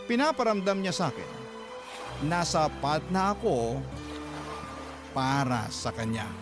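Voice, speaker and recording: A man's voice: fairly high pitch, animated, a little slow. A man narrating, in the first person, the letter of a young mother betrayed by a married lover. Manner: confessional, earnest. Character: heartbroken young mother recounting her story. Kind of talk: radio drama letter narration. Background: music.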